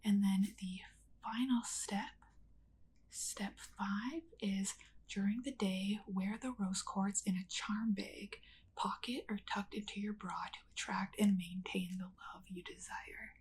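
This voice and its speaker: Woman, soft whisper, ASMR witch role play, calm and unhurried, talks to the listener, faint background noise. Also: mid-range voice, low-quality recording. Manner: gentle, unhurried whispering, addressing the listener directly. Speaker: ASMR creator playing a witch.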